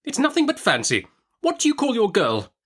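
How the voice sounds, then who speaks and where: Bossy brusque old aunt, storybook style, high voice, very emphatic and all over the place. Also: male voice, wildly swooping pitch, brisk, a bit bright, slightly rough, heavily acted. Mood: brisk, impatient. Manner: emphatic, commanding, abrupt. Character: imperious, brusque older great-aunt, indignant and domineering. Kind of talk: classic novel audiobook character voice.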